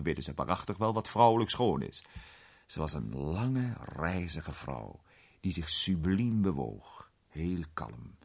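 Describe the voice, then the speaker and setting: A man's voice: low-pitched, vocal fry, slightly rough, heavily acted. An actor playing a genial male film star who tells a strange story conversationally in a radio play.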